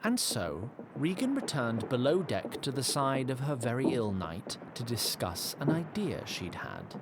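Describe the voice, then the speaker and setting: A man's voice: medium pitch, huge pitch swings, a little slow, heavy background noise. A man playing the storytelling narrator of a fantasy audio drama, with scripted, dramatic delivery. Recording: loud ship ambience behind narration.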